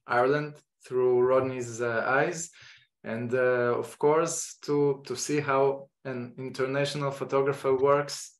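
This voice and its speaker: Man with an Israeli accent, echoey room. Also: mid-range voice. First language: Hebrew. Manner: halting, many fillers and restarts. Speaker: host. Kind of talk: online lecture introduction.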